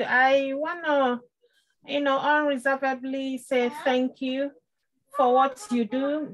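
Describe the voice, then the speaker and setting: A woman's voice: high-pitched, low-quality recording. A woman who is a student in an IT training program, giving a devout, heartfelt testimony of thanks on a video call, with short laughs now and then.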